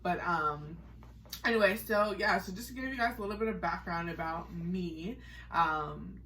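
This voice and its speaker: A woman's voice: lowish voice, moderate pace, a bit bright, far from the mic, a little hiss or hum, rough recording. An American woman co-hosting a podcast, telling her personal story in a casual, chatty, conversational way. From Massachusetts, United States.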